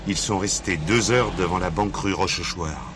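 An actor playing a dogged, streetwise police inspector in a French radio play, insistent, deferential yet cheeky. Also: male voice, deep voice, normal speed, lightly acted. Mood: confiding, determined. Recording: noisy archive drama recording, vehicle ambience.

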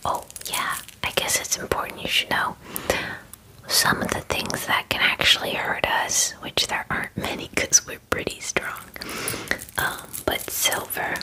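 A young woman in her twenties speaks in a soft, breathy whisper, very close to the microphone. She takes her time, drawing out her phrases in a gentle, chatty way, as in an ASMR pampering roleplay. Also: poor audio. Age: 20s. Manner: slow, gentle, chatty and unhurried. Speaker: ASMR performer playing a werewolf spa groomer. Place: small indoor room.

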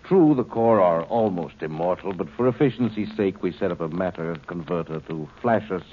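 A cold, superior alien overseer. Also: male voice, low voice, animated. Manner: measured, superior, lecturing. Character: cold calm male alien overseer, condescending. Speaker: alien captor. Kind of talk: 1950s radio drama acting. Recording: tinny old broadcast recording, faint hiss.

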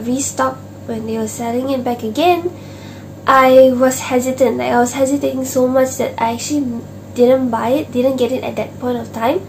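High-voiced woman, slightly hoarse. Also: darkish tone, a little hiss or hum, poor audio. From Malaysia. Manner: chatty and rambling, many fillers and restarts. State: voice going hoarse, clearing throat. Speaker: stationery vlogger. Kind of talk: casual unboxing chat to camera. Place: small home office with desk and shelves, indoors.

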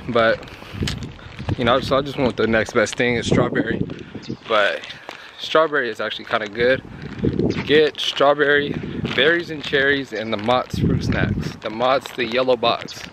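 A young man in his twenties, hoarse after yelling at a concert, talking excitedly and rambling in a casual vlog, filmed on a phone held at arm's length. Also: medium pitch, normal speed, very noisy. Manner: rambling, excited, repeats himself. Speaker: vlogger. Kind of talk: casual vlog talk to camera. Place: bedroom at home, then walking on a suburban street outdoors.

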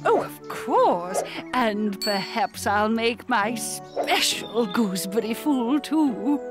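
A woman's voice: on the high side, lively intonation, slow pace. A woman voicing a kindly, fussy woman farmer in a children's cartoon, motherly and sing-song. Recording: music playing underneath.

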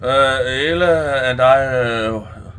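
A man's voice: medium pitch, extremely slow, fairly bright tone, smooth. A man doing casual let's play commentary, reacting aloud in a crude, jokey, exclamatory way.

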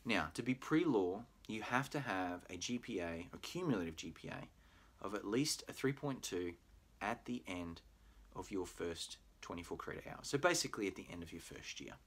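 A middle-aged Australian man with a very low voice talks prospective students through a university program. He explains things in a friendly, patient way, dropping in plenty of "uh"s. He is recorded on a webcam in a small room at home. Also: expressive, normal speed, breathy edge. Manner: friendly, explanatory, with frequent "uh" fillers. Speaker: pre-law program manager. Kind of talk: recorded admissions presentation. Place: home room with bookshelf, indoors.

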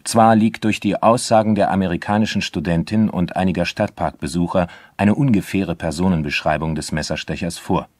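A crime case narrator with a deep voice, recorded close and dry. He delivers scripted documentary narration for a radio play, sober, formal and measured. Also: a little mellow, no echo.

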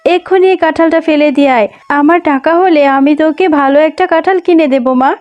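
High-pitched, worried mother fox, acted by a woman. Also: fast, muffled recording, lightly acted. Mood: worried scolding. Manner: tender, gently scolding. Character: poor, loving, worried widowed mother fox. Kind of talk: cartoon voice acting. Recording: music under the voice.

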